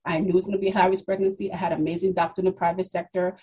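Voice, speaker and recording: Husky mid-forties woman, low mellow voice. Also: flat delivery, racing, muffled recording. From Democratic Republic of the Congo, raised in New York. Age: 40s. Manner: reflective, many fillers and restarts. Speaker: podcast guest. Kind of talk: personal story in an interview.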